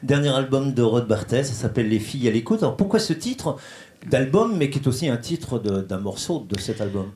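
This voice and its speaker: A man asks a musician playful, teasing questions. His mid-pitched voice carries a noticeable room echo. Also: very animated, a little fast, darkish tone. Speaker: interviewer. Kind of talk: casual interview questions. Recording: roomy echo.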